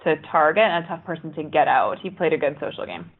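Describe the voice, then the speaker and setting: A woman's voice: low voice, expressive, fast, a little hiss or hum. A woman being interviewed as a former reality show contestant, giving spontaneous answers. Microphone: phone call. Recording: phone line, band-limited.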